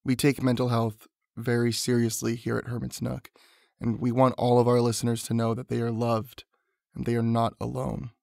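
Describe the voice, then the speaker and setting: A man's voice: mid-range voice, completely flat delivery, moderate pace, creaky, darkish tone, dry recording, clean studio sound. A man reading a scripted content warning as a podcast announcer.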